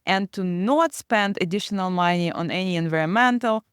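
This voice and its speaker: A woman's voice: on the low side, normal speed, clean tone, no echo, clean studio sound. A woman finance professor co-hosting a casual academic discussion, explaining with everyday comparisons.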